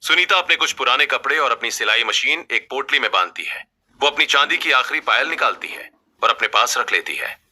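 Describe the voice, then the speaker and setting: A man's voice: medium pitch, animated, a little fast. A man reading a scripted family story as its narrator. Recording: music bed under the voice. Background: loud background music.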